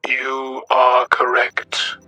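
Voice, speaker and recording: Crackling monotone machine-priest. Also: male voice, mid-range voice, soft background music, voice pushed up, full character voice. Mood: cold, irritated. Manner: formal, measured. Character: cold monotone male machine-priest, stiffly formal and irritated. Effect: crackling robotic vocal modulator.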